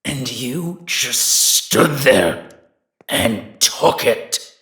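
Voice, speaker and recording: Echoing wolf spirit, gravelly, fierce, resentful, accusing, fantasy audio drama. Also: male voice, medium pitch, animated, drawn-out words, a bit bright, full character voice. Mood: bitter, accusing. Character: fierce, resentful inner wolf, proud of its pack. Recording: echoing, reverberant voice effect.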